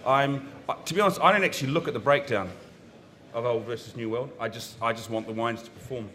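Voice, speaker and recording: A man with a New Zealand accent talks on a seminar panel about wine lists. His voice is bright and forward, and his pitch sits mid-range. He is frank and opinionated, but he keeps an easy, conversational tone. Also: fast. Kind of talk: panel discussion. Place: seminar room.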